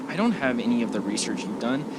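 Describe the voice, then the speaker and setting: Man acting easygoing reluctant driver, loud noise. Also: mid-range voice, expressive, fast talker, natural voice. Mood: defensive. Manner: laconic, sceptical but agreeable. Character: easygoing, reluctant man driving his podcasting partner. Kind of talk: amateur audio drama, casual dialogue. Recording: loud vehicle noise behind the voice.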